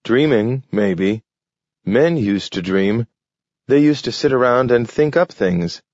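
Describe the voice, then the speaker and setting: A man voicing a gentle, idealistic male dog who can talk, pleading in a raised, eager voice. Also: mid-range voice, lively intonation, slow pace, dry recording, pitched above the performer's own voice. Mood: earnest. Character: earnest, gentle young male talking dog. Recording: dull, dry recording.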